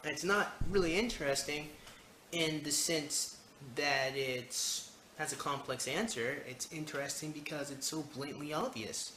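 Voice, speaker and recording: A man's voice: fairly high pitch, a little slow, pushed and bright. A man giving rambling video commentary, hesitant and often digressing. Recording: echoey, noisy homemade video.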